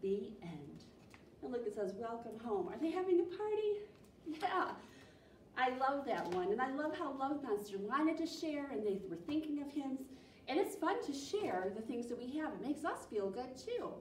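High, animated American woman at storytime. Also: moderate pace. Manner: animated, encouraging, addressing young children. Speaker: children's librarian leading storytime. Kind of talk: children's storytime reading and singalong. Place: small library program room, indoors. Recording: echoey room, distant mic, faint noise.

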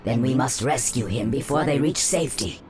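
A woman voicing a devoted, coolly scheming sea-serpent sorceress in a fantasy game, in measured, formal speech. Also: extremely low pitch, a loud crowd. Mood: watchful. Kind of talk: scripted fantasy game acting. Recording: music under the voice.

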